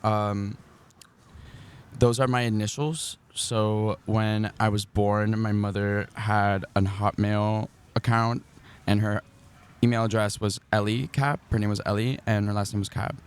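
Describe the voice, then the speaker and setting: A man in his twenties who grew up in Miami speaks as a podcast guest in a park. His voice is smooth and clean, sitting slightly low, and his humor is dry and unbothered. He often lets his sentences trail off softly. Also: steady tone, measured pace. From Miami, Florida. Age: 20s. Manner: wry, laid-back, trailing off quietly. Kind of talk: casual chat. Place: outdoors in a park.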